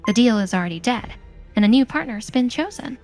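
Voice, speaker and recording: Woman acting cool, higher-voiced dismissive secretary. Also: expressive, brisk, darkish tone, faint music behind. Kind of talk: manga dub dialogue.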